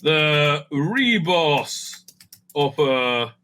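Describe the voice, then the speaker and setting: Male host, very bright and forceful, slightly high voice; animated casual commentary, thinking out loud. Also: very slow. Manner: animated, thinks aloud with frequent asides. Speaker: host ranking TV serials.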